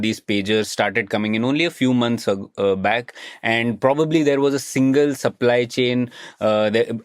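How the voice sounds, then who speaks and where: A man's voice: mid-range voice, dry recording. Indian-accented man, dry close sound. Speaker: podcast host. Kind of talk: conversational interview.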